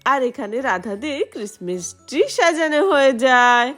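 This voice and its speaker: A woman's voice: on the high side, animated, normal speed, a bit bright. A woman storyteller narrating a children's doll story. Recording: music behind the voice.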